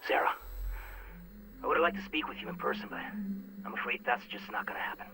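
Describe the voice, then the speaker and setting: An actor playing an anguished military major and devoted father in a video game, speaking in a breathy half-whisper as a slow, grimly resolved confession on a recorded message. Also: on the high side, animated, noisy background. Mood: sorrowful, resolved. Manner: slow, weighty, dramatic confession with sighs. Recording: voice message under loud music.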